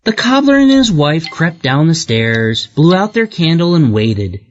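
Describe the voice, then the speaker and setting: A narrator reading a children's story aloud in an expressive, deliberate storytelling way for young learners. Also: medium pitch. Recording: muffled lo-fi audio with music behind. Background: music.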